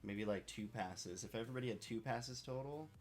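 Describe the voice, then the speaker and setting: A man's voice: medium pitch, a little fast, soft background music. A man in a casual voice chat who states firm opinions assertively.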